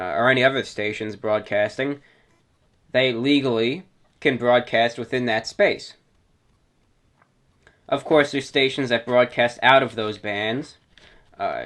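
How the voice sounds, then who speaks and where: A man's voice: medium pitch, a bit bright. A man hosting a hobby show, talking informally to his listeners and explaining things step by step in a didactic way. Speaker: host of a radio hobby show.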